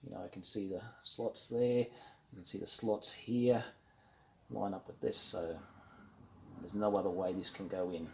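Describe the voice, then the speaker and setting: A man giving casual, unscripted how-to commentary as a hobbyist at work on a build, halting and thinking aloud as he goes. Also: low voice, fairly even intonation, creaky, sharp tone, slight background noise, rough recording. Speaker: hobbyist narrating an electronics kit build.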